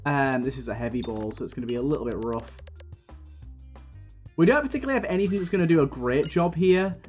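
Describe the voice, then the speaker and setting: A man with a slightly raspy voice from a coming cold, chatting casually as he plays and comments on a video game, thinking aloud with plenty of fillers. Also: medium pitch, expressive, quick pace, a little mellow. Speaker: gaming video host. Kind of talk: casual let's-play commentary. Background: faint game music.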